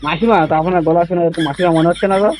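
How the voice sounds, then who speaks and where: A man's voice: on the high side, steady tone, fast, crowd noise. A man with a West Bengali accent making a casual prank phone call, teasing and persistently asking questions. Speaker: prank caller. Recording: phone call with faint noise.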